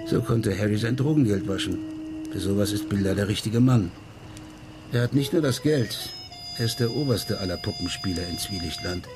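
An actor playing an unruffled, worldly middle-aged former policeman, talking calmly and wryly in a radio crime drama. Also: on the low side, moderate pace, over music, natural voice. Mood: calmly explaining. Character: unruffled former Amsterdam policeman living abroad, worldly and wry.

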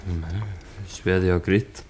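Man acting uneasy Bavarian villager, very low voice, dark muted tone, evasive, halting, defensive, old radio drama. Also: fairly even intonation, slight background noise, lightly acted. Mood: guarded. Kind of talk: 1950s dialect radio play acting.

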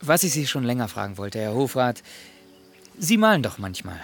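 An actor playing a polite young gentleman, secretly infatuated, who speaks with hesitant, over-eager courtesy in a radio drama. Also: mid-range voice, very animated, moderate pace, gravelly, soft background music. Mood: polite, tentative.

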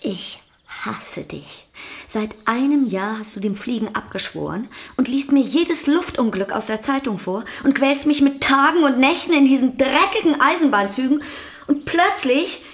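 A woman's voice: high voice, animated, moderate pace. A woman voicing an exasperated, stern sister in a radio drama, scolding and pleading.

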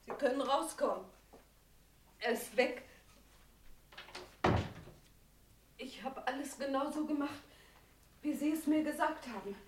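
Actress playing a high-pitched, frightened, pleading widow. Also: female voice, normal speed, slight background noise, natural voice. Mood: nervous. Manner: anxious, emotional, insistent pleading. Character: frightened widow of a drug smuggler, evasive, pleading. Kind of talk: German radio crime drama acting, mid-20th century.

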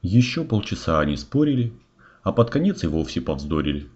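Lively, low-voiced male fairy-tale narrator. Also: a little fast. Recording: muffled audio with clear noise, clicks.

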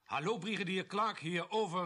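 Een acteur die in een hoorspel een politiebrigadier speelt die dringend en kortaf over de radio roept. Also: male voice, high voice, moderate pace. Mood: urgent. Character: police sergeant Clark calling urgently over the radio. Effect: two-way radio. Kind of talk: radio play acting. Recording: noisy crackling two-way radio.

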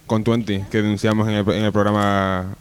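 A man's voice: lowish voice, little pitch movement, a little fast, clear, resonant tone. A man co-hosting a casual comedy radio show, cutting in with mock-indignant banter.

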